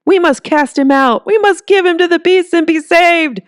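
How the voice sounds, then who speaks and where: A woman's voice: very high-pitched, normal speed, no echo, studio quality, pitched above the performer's own voice. A woman voicing a sanctimonious, scolding church zealot who declaims preachily in an audiobook. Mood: fervent demand.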